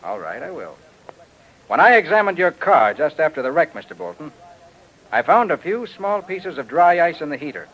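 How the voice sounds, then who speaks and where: An actor playing a shrewd, assured American private detective in an old-time radio drama, explaining things crisply. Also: male voice, fairly high pitch, big pitch swings, normal speed, lo-fi sound. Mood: confident, commanding. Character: shrewd, brisk, commanding male private detective. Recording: old radio show recording.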